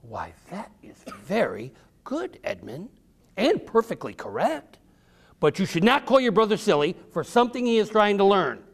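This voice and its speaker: A man voicing a patient old grandmother who recounts her wartime ride in measured, instructive storybook narration. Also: high-pitched, sing-song, creaky, pitched above the performer's own voice, exaggerated voice. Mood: gently correcting.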